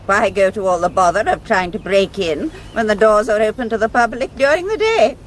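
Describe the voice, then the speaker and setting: A woman's voice: mid-range voice, animated, brisk, slight background noise, close to an everyday voice. An actress playing a genteel, composed elderly lady masterminding a crime in a radio drama, polite, precise and firm. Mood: brisk, assured. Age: older.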